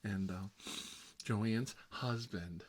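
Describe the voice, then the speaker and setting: A man's voice: lowish voice, very slow. A man performing a chatty ASMR role-play as a fussy, petty grown man stuck babysitting, rambling on in a gossipy, petulant manner. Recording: clearly noisy recording with clicks.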